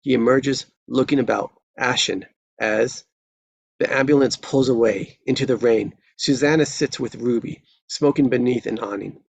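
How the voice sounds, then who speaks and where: Gravelly, husky male narrator. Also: medium pitch, normal speed, no room sound, low-quality recording. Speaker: stage directions narrator. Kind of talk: dramatic scripted narration.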